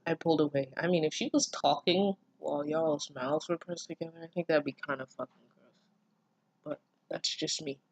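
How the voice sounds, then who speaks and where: A casual online reader with a mid-range voice, recorded close and dry. The reading slips often into expressive, incredulous commentary, with plenty of swearing. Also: mid-range voice, moderate pace, no echo, poor audio. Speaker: online reader reacting to fan fiction. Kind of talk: casual read-aloud with running commentary.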